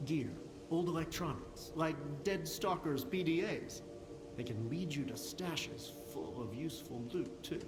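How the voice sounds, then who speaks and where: A man's voice: a little high, roomy sound, background noise. An actor playing a wry, chatty male technician in a post-apocalyptic video game.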